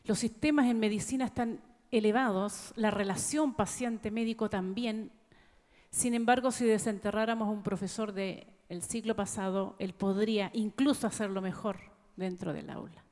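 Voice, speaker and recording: A woman's voice: mid-range voice, moderate pace, darkish tone. A woman teacher speaking from the audience at a conference, earnest and emphatic, building long rhetorical questions. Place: large conference hall. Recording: reverberant conference hall.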